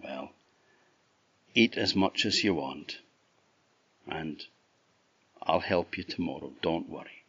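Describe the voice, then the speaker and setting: A man speaks as a kind young lassie from a folk tale, in a low voice with a Scottish accent. The manner is reassuring and gently scolding, in a dry, slightly muffled recording. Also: takes it slowly, pauses often, husky, dry recording, full character voice.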